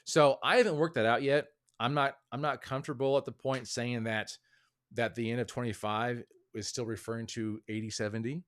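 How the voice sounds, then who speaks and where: A man's voice: mid-range voice, fairly even intonation, brisk, fairly bright tone. Thoughtful man talking it through. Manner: thoughtful, hedging, many fillers. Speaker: author and guest. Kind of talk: casual interview talk.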